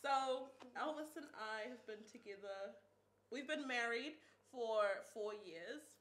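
A woman's voice: a little high, slow pace, a bit bright. A young woman hosting a casual group chat in a living room, chatty and laughing often. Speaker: host. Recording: echoey room.

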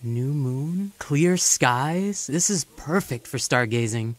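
A man's voice: mid-range voice, animated, takes it slowly, background noise. A man playing an easygoing, affectionate father of young twins in an audio drama. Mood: tender.

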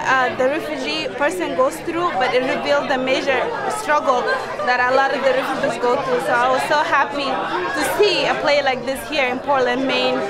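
A young woman from Sudan, an audience member, speaking articulately and gratefully in an interview. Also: high voice. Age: young adult. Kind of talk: interview statement. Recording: loud crowd chatter behind.

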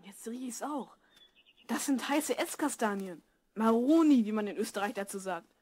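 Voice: medium pitch, lively intonation, moderate pace, a little mellow, lightly acted. A performer playing a curious, earnest twelve-year-old schoolboy in a children's radio play. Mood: polite. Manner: inquisitive, earnest.

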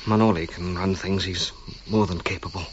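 A man's voice: sits low, fairly even intonation, normal speed, muffled recording, slight background noise, lightly acted. A male actor playing a breezy, sceptical, independent-minded archaeologist in a period radio drama, with a casual, colloquial manner. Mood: feverish, weary.

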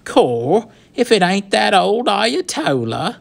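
A man's voice: sits high, very animated, takes it slowly, gravelly, pitched above the performer's own voice, heavily acted. A man voicing a tall teenage skinhead gang leader in a storybook, slangy and menacing. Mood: sneering. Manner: slangy, sneering, muttering and barking.

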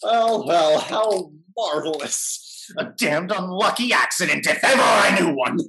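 An actor playing a falsely courteous, pompous English gentleman in a period stage comedy, speaking formally and unctuously. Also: high-pitched, expressive, unhurried, over a noisy crowd, low-quality recording. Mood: exasperated. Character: smooth hypocritical English gentleman, falsely courteous, secretly grasping. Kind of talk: theatrical period comedy acting. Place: at home on a video call.

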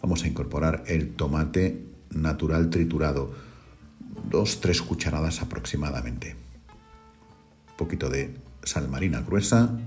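A middle-aged man, hosting a home cooking video and calmly talking viewers through each step. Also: very deep voice. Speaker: cooking channel host. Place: home kitchen.

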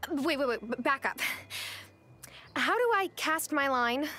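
A woman's voice: sits high, expressive, measured pace, sharp tone, exaggerated voice. An actress playing an eager, slightly unsure young woman new to town in a video game. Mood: flustered. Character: eager young newcomer woman, a novice farmer. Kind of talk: video game character dialogue.

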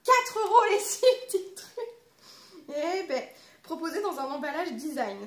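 A woman's voice: sits high, very animated, normal speed, a bit bright. A woman in her twenties with a French accent from France, chatting informally and reading aloud as a vlogger. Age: 20s. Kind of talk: casual chat with reading aloud. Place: small living room at home. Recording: roomy echo, clear background noise.

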